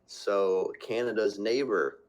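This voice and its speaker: A man's voice: on the low side, fairly even intonation, takes it slowly. A man from central Vermont, a podcast guest, chatting in an easygoing, rambling way. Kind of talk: casual chat.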